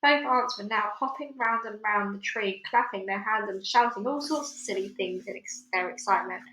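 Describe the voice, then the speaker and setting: A woman reading a children's novel aloud in expressive storytelling narration. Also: medium pitch, normal speed, slight background noise. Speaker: storybook reader. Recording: echoey room.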